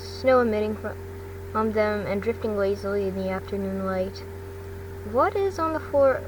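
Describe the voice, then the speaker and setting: A woman narrating a story in an amateur audiobook style, with a halting delivery full of stumbles and self-corrections. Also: on the high side, fairly bright tone, far from the mic, slight background noise, poor audio. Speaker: fan fiction reader.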